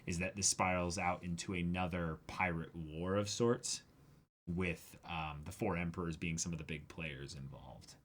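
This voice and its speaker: A man's voice: deep voice, fairly even intonation, moderate pace. A man co-hosting a livestream, chatting casually and enthusiastically as a fan. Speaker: livestream co-host.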